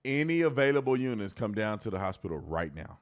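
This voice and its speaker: A man's voice: fairly low pitch, big pitch swings, fairly quick. Emphatic American man giving commentary. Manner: repeats phrases for emphasis. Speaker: host commentator, former police officer.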